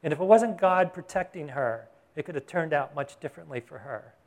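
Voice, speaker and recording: A man delivering a Bible-teaching sermon in a relaxed, conversational manner. His voice sits a little higher than most men's. He keeps checking in with his listeners through short questions. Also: a little fast. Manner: conversational preaching, asks the congregation "Got it?". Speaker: pastor.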